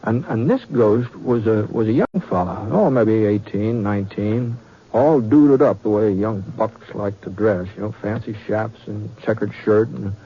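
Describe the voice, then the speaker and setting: A man playing a folksy Western storyteller narrating an old-time radio drama in a halting, conversational way. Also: lowish voice, breathy edge. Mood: amused reminiscing. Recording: muffled vintage radio, faint music.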